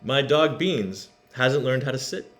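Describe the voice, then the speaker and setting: A man's voice: mid-range voice, expressive, a little slow, natural voice. A man reading the part of a polite, plain-spoken schoolgirl in a children's storybook. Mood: frank.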